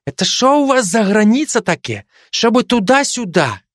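A man's voice: high-pitched, big pitch swings, normal speed, no room sound, clean studio sound, voice pushed up. A man voicing a young Ukrainian soldier who slips Ukrainian words into his Russian and bristles at teasing. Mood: puzzled. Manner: boastful, indignant.

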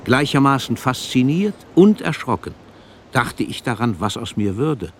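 An actor playing an older poet who narrates his memories in a measured, lyrical, reflective way for a radio drama. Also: medium pitch, very animated, a little hiss or hum. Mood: reflective, moved. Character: older male poet recalling his life, moved and reflective. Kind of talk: literary first-person narration in radio drama.

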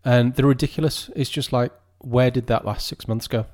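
A man's voice: mid-range voice, fairly even intonation, moderate pace, a little mellow. A man in his forties, co-hosting a podcast in casual chat, stammering a little on restarts. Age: 40s. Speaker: podcast co-host.

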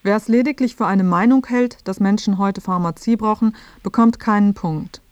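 A woman's voice: mid-range voice, moderate pace, a little mellow, no echo, highs cut off, lightly acted. A woman playing a dry, matter-of-fact presenter, reading scripted narration for a satirical radio feature.

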